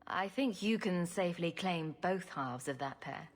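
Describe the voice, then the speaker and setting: A woman's voice: fairly low pitch, lively intonation, measured pace. An actress playing a wry, loyal woman adventurer with a dry, sardonic way of talking in a fantasy video game. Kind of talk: cinematic game dialogue acting.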